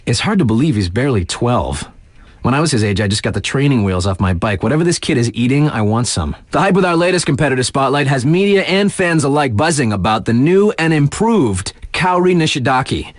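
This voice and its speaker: A man acts out a young snowboard radio DJ for a video game. His delivery swings widely in pitch, slangy and playful as he hypes up the riders. The sound is close and dry, studio-clean. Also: animated, a little fast, no room sound, lightly acted. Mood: hyped, playful. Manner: slangy, playful, conversational hype. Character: laid-back, excitable young male snowboard radio DJ. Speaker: radio DJ and sports announcer.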